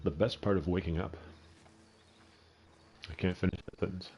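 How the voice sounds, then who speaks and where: Canadian guy, middle-aged, low dark voice, rambling gameplay host who swears freely. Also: fairly even intonation, moderate pace, faint music behind. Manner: rambling and profane. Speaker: gaming video host. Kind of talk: casual gameplay commentary.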